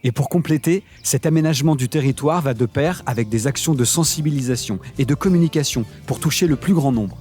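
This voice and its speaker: A man voicing a polite local elected official and proud father in an animated explainer. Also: mid-range voice, faint music behind, lightly acted. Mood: formal. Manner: polite, formal, measured. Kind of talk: scripted cartoon dialogue.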